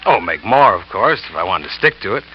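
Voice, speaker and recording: An actor playing a smooth-talking, scheming salesman who narrates his own story in 1940s radio drama style, boastful and quietly cold. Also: low-pitched, big pitch swings, brisk, slight background noise. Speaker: narrator and lead character. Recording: dull old radio recording with music.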